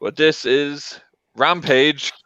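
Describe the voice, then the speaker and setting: A man's voice: a little high, steady tone, takes it slowly, bright, forceful tone, clear, resonant tone, no echo. A man hosting a casual panel discussion, introducing items and chatting with guests. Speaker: host of movie debate show. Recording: dry, dull lo-fi audio.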